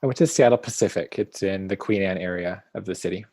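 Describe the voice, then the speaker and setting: American man, slightly low voice, mild-mannered, understated, casual podcast guest, dry sound. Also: quick pace, warm tone, dry recording. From Idaho, United States. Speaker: podcast guest, marketing executive. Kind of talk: casual interview chat.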